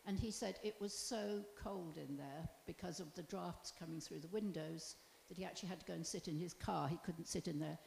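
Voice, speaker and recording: Older woman from the public, gravelly voice, chatty, echoey hall with faint hiss. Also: on the low side, a little fast, few pauses, darkish tone. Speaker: member of the public.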